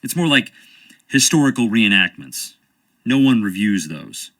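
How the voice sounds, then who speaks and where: A charming, evasive American stage actor in a mystery game, his bright voice swinging up and down with theatrical flair. He answers with wry deflection and playful drama. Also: on the low side, sing-song, measured pace, heavily acted. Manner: wry, theatrical, dodging questions. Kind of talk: video game voice acting.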